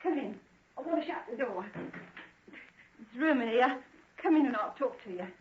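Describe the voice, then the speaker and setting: An actress playing a bitter, guarded working-class housekeeper in an old radio drama, blunt and evasive, with a husky voice. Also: female voice, fairly high pitch, animated, moderate pace, a little hiss or hum, close to an everyday voice. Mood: suspicious. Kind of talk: 1940s radio acting. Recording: dull old radio recording.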